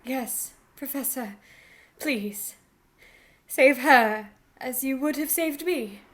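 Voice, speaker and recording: An actress playing a tender young heroine rescued from a vampire, acting melodramatically in a radio play. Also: female voice, high-pitched, slow pace, fairly bright tone. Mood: pleading.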